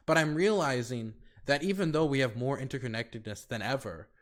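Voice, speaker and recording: American male host type, loose rambling delivery, mid pitch, keeps circling back with "like". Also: brisk. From United States. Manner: rambling, many fillers and restarts. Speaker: podcast host. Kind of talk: casual podcast chat.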